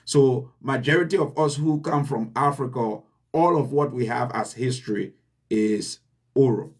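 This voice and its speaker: A man with a Liberian accent giving an earnest, deliberate motivational talk to his channel's viewers, repeating his key points for emphasis. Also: mid-range voice. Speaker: channel host. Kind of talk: motivational address.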